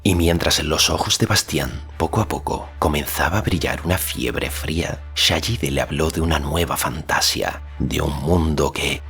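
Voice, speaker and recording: A man's voice: rumbling low, moderate pace, vocal fry, fairly bright tone. A man narrating a fantasy audiobook in a scripted, dramatic literary storytelling style. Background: faint music.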